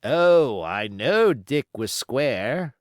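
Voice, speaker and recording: A man doing a grumbling, high-pitched pirate coxswain. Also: very animated, drawn-out words, no room sound, clean studio sound. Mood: impatient, greedy. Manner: emphatic, complaining seafaring dialect. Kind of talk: audiobook character voice.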